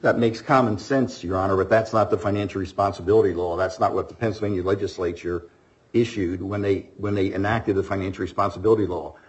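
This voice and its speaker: A man's voice: deep voice, fairly even intonation, fast talker, highs cut off. A man arguing in court as an attorney, firm and insistent. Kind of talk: courtroom argument.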